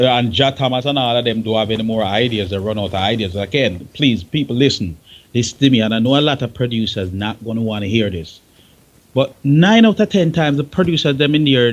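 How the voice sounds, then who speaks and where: Jamaican man, mid-pitched, as a forthright radio guest who hammers his points home. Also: muffled recording. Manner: blunt, emphatic, repeats himself for stress. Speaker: guest, veteran music engineer. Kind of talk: outspoken talk show discussion.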